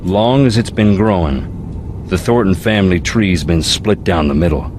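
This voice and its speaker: Over clear background noise comes a brooding Southern US young man from an adventure game. His voice sits low, with a folksy drawl. He muses philosophically with dry, wry wit. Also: unhurried. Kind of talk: video game character dialogue.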